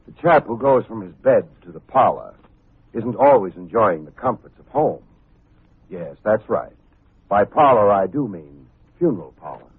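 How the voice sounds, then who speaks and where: Wry, husky radio private eye. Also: male voice, on the low side, big pitch swings, normal speed, heavily acted. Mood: sardonic. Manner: wisecracking, clipped, colloquial. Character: wry, hard-boiled male private detective, streetwise and breezy. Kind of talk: 1950s radio detective drama acting. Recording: muffled tinny old radio recording, faint music.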